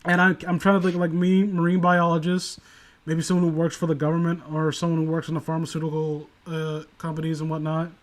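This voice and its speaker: A man with a fairly high voice hosts a casual reading stream. He gives critical commentary in a meandering, stop-and-start way. His language is loose and occasionally profane. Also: flat delivery. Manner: rambling, halting, profane. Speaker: host of a story reading stream. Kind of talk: casual critical chat on a livestream.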